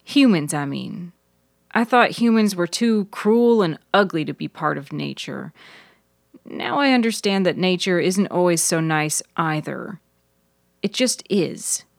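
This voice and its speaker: A woman's voice: lowish voice, lively intonation, measured pace, creaky, no room sound, studio quality. A woman voicing an earnest, easily stung young woman who loves nature, in a fiction podcast. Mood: earnest, eager. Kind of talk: audio fiction character dialogue.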